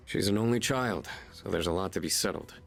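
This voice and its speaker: Deep-voiced, composed young team leader. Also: male voice, a little fast, gravelly, soft background music. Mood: matter-of-fact. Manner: matter-of-fact, steady. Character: level-headed, composed senior male student team leader. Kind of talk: English anime-style game dub acting.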